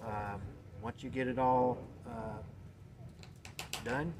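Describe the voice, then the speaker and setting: A man in his sixties casually explaining a how-to method in a plain, halting way, searching for words. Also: medium pitch, steady tone. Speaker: guest explaining a home method. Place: indoors in a cluttered shop. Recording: noisy room with background ambience.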